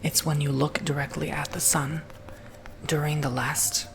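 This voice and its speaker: Slow, gravelly whispering man. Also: on the high side, level tone, noisy background. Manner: soft whispering, slow and gentle. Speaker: ASMR roleplay host. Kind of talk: whispered ASMR roleplay.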